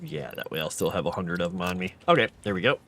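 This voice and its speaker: A weary man talks through his game on a live stream, rambling and musing aloud to his viewers. His pitch rises and falls in lively swings. A faint hum sits under his voice. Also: quick pace. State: tired, fading late at night. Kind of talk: casual gameplay live stream commentary.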